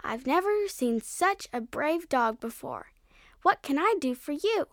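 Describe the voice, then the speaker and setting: A man's voice: very high voice, very animated, a little slow, fairly bright tone, no room sound, studio quality, pitched above the performer's own voice, exaggerated voice. A man voicing a small, brave young boy in a storybook for learners, earnest and eager. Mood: admiring.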